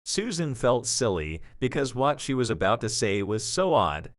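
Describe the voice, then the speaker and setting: A man's voice: medium pitch, very animated, normal speed, no room sound, clean studio sound. A man reading a scripted story as the narrator of an audio drama.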